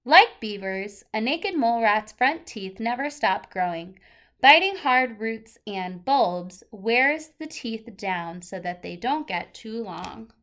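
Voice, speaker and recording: A woman's voice: fairly high pitch, expressive, slow pace, fairly bright tone. A woman teacher reading a children's nonfiction picture book aloud, expressively stressing key words and adding short explanatory asides.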